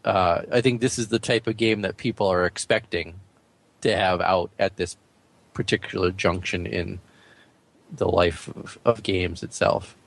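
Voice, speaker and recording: A man's voice: low voice, fairly even intonation, unhurried, gravelly, no room sound. A man co-hosting a casual gaming discussion in a blunt, plain-spoken way. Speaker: podcast co-host.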